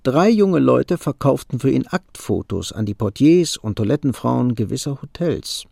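A man narrating a literary radio play from a script, with a gravelly voice. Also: mid-range voice, big pitch swings, moderate pace, dark tone, dry recording, clean studio sound.

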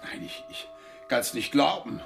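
An actor in a radio play playing a horrified, disbelieving man who speaks haltingly and repeats himself. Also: mid-range voice, expressive, gravelly, fairly bright tone, faint music behind. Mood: bewildered. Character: stunned, horrified male acquaintance, pleading and disbelieving.

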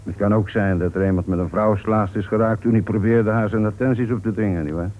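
An actor playing a calm, methodical, paternal police inspector in a Dutch radio play. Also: male voice, on the low side, quick pace, natural voice. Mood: measured, musing. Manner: paternal, probing, murmured acknowledgements. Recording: dull, noisy old radio play recording.

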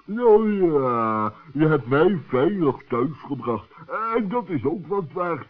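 A man puts on a timid, simple-hearted fellow for a children's radio story. The voice is high and husky, swooping about at a slow, naive gait. The old recording sounds tinny. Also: very animated, full character voice. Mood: reassured, grateful.

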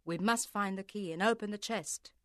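A man's voice: high voice, normal speed, a bit bright, no room sound, clean studio sound, voice pushed up. A man voicing a stubborn middle-aged mother who keeps an inn, speaking firmly in an audiobook. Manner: firm, insistent.

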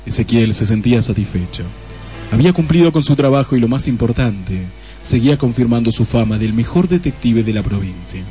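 Casting: male storyteller, mellow mid-low tone, scripted radio drama narration over faint music. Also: lively intonation, brisk.

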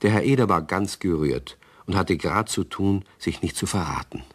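A man's voice: low-pitched, lively intonation, moderate pace. A man playing a wry, fatherly narrator of a children's radio play. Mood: amused. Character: wry, fatherly male storyteller. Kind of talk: scripted children's story narration.

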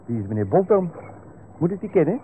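A boastful, impulsive young Dutch fellow in a radio comedy serial. His voice is rather high and very animated, on a tinny old recording. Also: a little fast, slight background noise. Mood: breezy, cocky. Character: cocky, impulsive young male sidekick, boyishly boastful.

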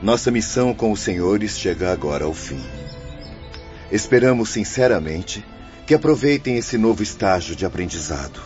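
A man plays a patient young guide from the spirit world in a Brazilian radio play. His lowish voice moves expressively as he teaches in formal phrases. The audio is slightly dull with music behind. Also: animated, normal speed, a little mellow, close to an everyday voice. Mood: gentle farewell. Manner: formal, didactic. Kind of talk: Brazilian radio drama acting.

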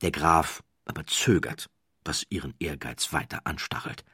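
A man reading a literary audiobook as a scripted narrator, with measured, deliberate phrasing and dramatic pauses. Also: a bass voice, pushed and bright, husky, no echo, clean studio sound.

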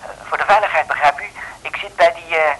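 A jittery gang informant on the phone in a vintage radio serial. His voice is high, raspy and sharp, stammering out words in an urgent rush. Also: quick pace, rough recording. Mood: nervous, secretive. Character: nervous male gang boss turned secret informant. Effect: telephone. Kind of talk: 1960s Dutch radio play acting.

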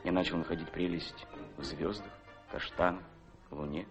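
An actor playing an ageing, melancholy colonel in a radio play, speaking in a laconic, wistful way with resignation. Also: male voice, low voice, fairly even intonation, normal speed, gravelly. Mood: wistful, bored. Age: middle-aged. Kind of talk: Russian radio play acting. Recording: dull radio play recording, music behind.

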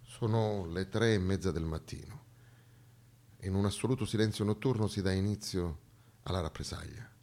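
A man performing a dramatic theatrical monologue, narrating historical events in a deliberate, declamatory way. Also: low voice, darkish tone. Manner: declamatory, deliberate, storytelling delivery. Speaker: stage actor and narrator.

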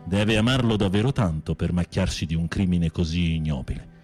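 An actor in an Italian radio drama playing a sensitive prisoner with a literary, dramatic, expressive way of speaking. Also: male voice, sits low, fairly quick, soft background music, lightly acted. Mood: melodramatic storytelling. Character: theatrical, sensitive male prisoner telling melodramatic tales.

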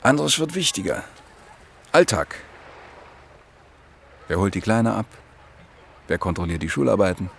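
A man's voice: fairly low pitch, very animated, unhurried, creaky, pauses often, a little hiss or hum, full character voice. An actor playing a married economist in his mid-thirties, wry and evasive, speaking in clipped phrases in a radio play. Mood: defensive. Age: middle-aged.

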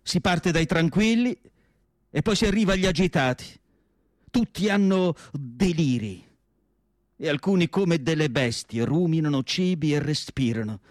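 An actor playing a reflective, melancholic asylum doctor writing his diary, in a solemn, measured radio drama monologue with deliberate pauses. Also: a little high, animated, dry recording, clean studio sound, lightly acted. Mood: reflective, recollecting.

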